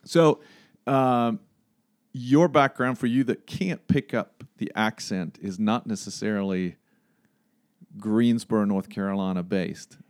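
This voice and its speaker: A man's voice: lowish voice, takes it slowly, dry recording, clean studio sound. A man from Sweden with a Swedish accent, a native Swedish speaker, asking questions casually as an interview host.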